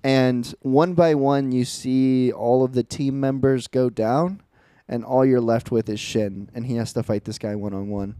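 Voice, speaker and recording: A young man in his twenties talks through his thoughts in an earnest, reflective way. His voice is dark and smooth, and it sounds dry, with no room echo. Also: mid-range voice, fairly even intonation, measured pace, hardly any pauses. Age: 20s. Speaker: podcast co-host. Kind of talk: casual chat.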